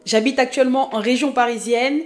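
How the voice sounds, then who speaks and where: A woman's voice: on the high side, steady tone, fairly quick. A woman in her thirties from Haiti, chatting casually and self-mockingly to her viewers as she introduces herself. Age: 30s. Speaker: YouTube vlogger.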